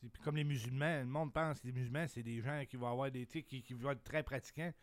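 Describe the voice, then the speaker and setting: A Québécois man with a mid-pitched voice chats in a relaxed, colloquial way. He is recorded close to the mic in a dry sound. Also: fast, no room sound. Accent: Québécois French. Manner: relaxed, colloquial, full of backchannel. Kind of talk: casual talk radio chat.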